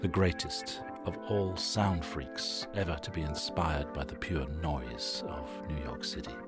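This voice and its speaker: A man's voice: a bass voice, measured pace. A man hosting a radio show, giving scripted narration to introduce an audio documentary. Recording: music behind the voice. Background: music.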